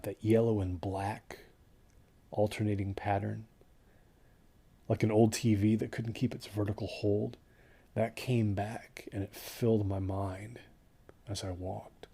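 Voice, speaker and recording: Man acting a haunted, low-voiced hike survivor, mellow. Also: fairly even intonation, gravelly. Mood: despairing, weary. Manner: halting, hushed, trailing into near-whispers. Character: shaken male desert-hike survivor, haunted and weary. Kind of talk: audio drama monologue, first-person recollection.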